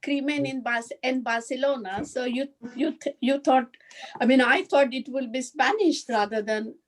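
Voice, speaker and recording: A woman student joins the class over a video call. Her voice is high, and she speaks tentatively, correcting herself as she goes. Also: moderate pace.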